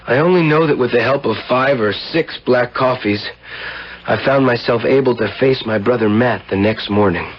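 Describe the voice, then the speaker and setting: A man's voice: medium pitch, normal speed, natural voice. An actor playing a desperate, guilt-ridden man who narrates and acts in a 1970s radio drama. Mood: haunted, brooding. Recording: dull, very noisy old radio recording.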